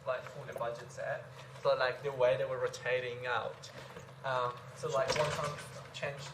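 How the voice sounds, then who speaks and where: A man's voice: medium pitch, level tone, normal speed. A young man from Iran with a Persian accent, a native Persian speaker and student, chatting casually and hesitantly with many likes and restarts. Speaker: engineering student. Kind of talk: casual chat with tutor. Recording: echoey room with clear noise.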